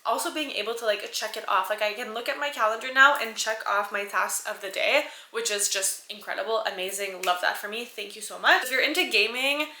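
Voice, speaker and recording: Bright chatty woman vlogger. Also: mid-range voice, a little fast, hardly any pauses, reverberant. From Canada. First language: French. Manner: chatty, enthusiastic, upbeat. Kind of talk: casual chat to camera.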